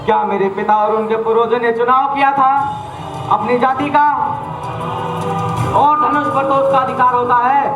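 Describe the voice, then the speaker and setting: A young man playing a proud, defiant low-born warrior in a mythological stage play, speaking in an earnest, declamatory way. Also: steady tone, moderate pace, long pauses, highs cut off. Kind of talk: stage drama in formal, declamatory Hindi. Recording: echoey stage recording with loud music.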